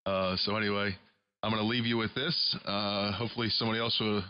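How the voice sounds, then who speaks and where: A man with a fairly low voice talks casually as an amateur radio operator. His processed speech arrives over a single-sideband link. The sound is somewhat dull and limited in bandwidth. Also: little pitch movement, fast talker, clean tone. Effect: single-sideband radio transmission with processed audio. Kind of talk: casual ham radio chat. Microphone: studio broadcast microphone. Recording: dull, band-limited shortwave radio audio.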